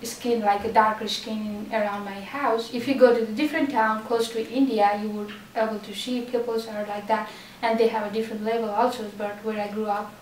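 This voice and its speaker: A woman's voice: on the high side, level tone, a little fast, few pauses, recorded from a distance, slight background noise. Young woman from Nepal, echoey room. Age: 20s. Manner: plain, simple non-native English. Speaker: interviewee. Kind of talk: casual interview answers.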